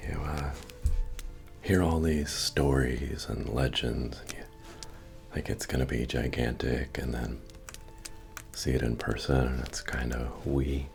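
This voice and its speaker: Super deep slow guy acting as a friendly medieval innkeeper, soft asmr murmur, bard music behind him. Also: steady tone, heavily acted. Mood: wistful, modest. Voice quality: deep, gravelly, often breathy or whispered. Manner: unhurried, folksy, hesitant murmuring. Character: friendly, humble medieval innkeeper, retired adventurer, chatty. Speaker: ASMR roleplayer. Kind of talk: soft-spoken ASMR fantasy roleplay. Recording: music under the voice.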